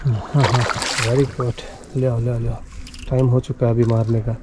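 A man's voice: mid-range voice, little pitch movement, normal speed. A man hosting a fishing vlog, talking casually to viewers and often repeating short phrases. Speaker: fishing channel host. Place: outdoors by water, partly on a boat. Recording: noisy outdoor recording with boat sounds.

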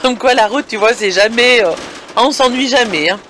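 Bright, high-pitched woman chatting on the road. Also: normal speed. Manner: chatty, addressing viewers directly. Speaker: vlogger. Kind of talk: casual vlog narration while driving. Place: inside a moving or parked camper van. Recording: vehicle engine and road noise.

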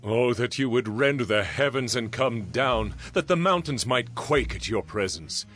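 A man's voice: medium pitch, animated, a little slow, fairly bright tone, dry recording. An actor playing a solemn man pleading with God in a dramatized Bible reading. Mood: solemn lament. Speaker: scripture narrator. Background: music.

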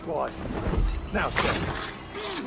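An actor playing a greedy, proud, lovesick smuggler in a video game, with a florid, haughty manner. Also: male voice, high-pitched, huge pitch swings, extremely slow, gravelly, heavily acted. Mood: smooth, evasive. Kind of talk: video game drama acting. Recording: very loud music behind. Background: music.

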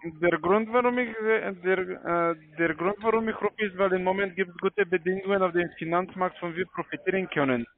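A man with a foreign, non-native accent making a polite, halting sales call in broken grammar. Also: high voice, slight background noise. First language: not German. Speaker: call center scam caller. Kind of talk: semi-scripted sales phone call. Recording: tinny narrowband phone line.

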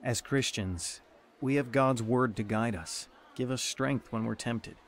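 A man's voice: mid-range voice, a little hiss or hum, natural voice. A man playing a patient, kindly pastor with faint ambience. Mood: earnest, explaining. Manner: measured, reassuring, explanatory. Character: kindly male pastor, patient and gently counseling. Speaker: actor in a radio drama.

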